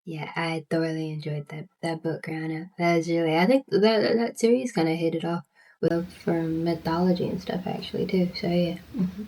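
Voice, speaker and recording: A young woman with a Southern drawl talks casually to her viewers, her voice sitting low. She stutters and restarts often, with plenty of ums, and sometimes sinks to a near whisper. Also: warm tone, low-quality recording. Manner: stuttering, halting, many fillers and restarts. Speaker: booktuber. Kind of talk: casual vlog chat.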